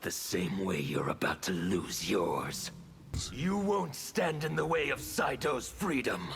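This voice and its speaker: An actor playing a disfigured anarchist revolutionary villain in a video game, gravelly, theatrical and menacing. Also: male voice, on the low side, lively intonation, slow, a bit bright, soft background music, heavily acted. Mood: cold threat.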